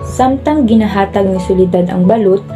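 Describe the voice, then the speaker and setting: A woman narrates a radio drama from a script. Her voice sits at a middle pitch with a Filipino accent, and music plays clearly behind it. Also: moderate pace.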